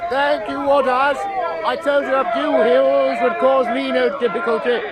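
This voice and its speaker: A man's voice: very high-pitched, level tone, normal speed. A man playing a self-satisfied, pompous naval commander in a radio drama. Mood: smug. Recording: loud crowd and noise behind.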